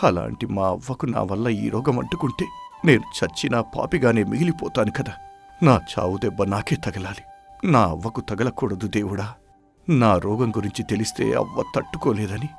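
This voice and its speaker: A man's voice: on the low side, gravelly. A man playing a sick, guilt-ridden village farmhand who hides his suffering in a dramatized moral story, anguished and heavy-hearted. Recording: music behind the voice.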